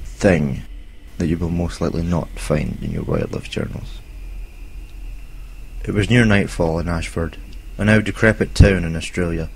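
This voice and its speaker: A man reading a homemade horror story aloud in a steady, slightly dramatic way. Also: low-pitched, slight background noise, poor audio. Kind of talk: read-aloud horror narration.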